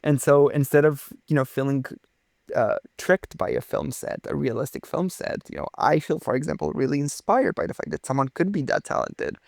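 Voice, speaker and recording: Gravelly-voiced enthusiastic young man from Romania. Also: fairly high pitch, moderate pace, a little mellow, no echo, studio quality. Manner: enthusiastic, theatrical phrasing. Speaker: physicist guest. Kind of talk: casual interview storytelling.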